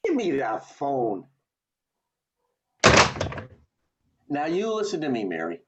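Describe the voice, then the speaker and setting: Radio drama: male actor as an earnest, stubborn young man, emphatic and very animated, dulled by a video-call line. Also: mid-range voice, normal speed, heavy background noise. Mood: angry, then tender. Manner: stammering, emphatic. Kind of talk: amateur radio play acting. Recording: muffled video call audio.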